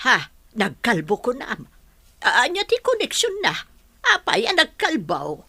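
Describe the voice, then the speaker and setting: A woman playing an inquisitive, blunt, excitable older grandmother in an audio drama. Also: fairly high pitch, unhurried, gravelly, dry recording, heavily acted. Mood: startled, puzzled.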